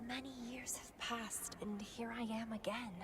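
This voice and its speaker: A woman voicing a proud, unstable, haunted young woman in a video game, with breathy, theatrical delivery. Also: on the high side, fairly even intonation, unhurried, natural voice. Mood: weary, resolute. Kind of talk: dramatic video game voice acting. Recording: game music behind the voice.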